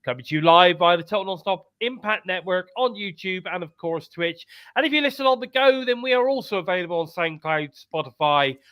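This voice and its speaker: A man's voice: sits high, animated, a little fast, few pauses, no room sound, muffled recording. A British man with a blocked-up, congested voice, hosting a live wrestling podcast in a chatty, upbeat way. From United Kingdom. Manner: chatty, upbeat, repeats calls to subscribe. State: congested, bunged up. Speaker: podcast host.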